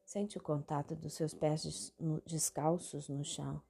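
A woman with a Brazilian accent hosting a guided meditation, giving calm instructions in short phrases with long pauses between them. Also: sits low, gravelly, slightly rough. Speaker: guided meditation podcast host. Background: meditation music.

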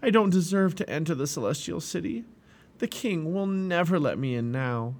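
A man doing an earnest, high young boy. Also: expressive, moderate pace, gravelly, a little mellow. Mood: remorseful.

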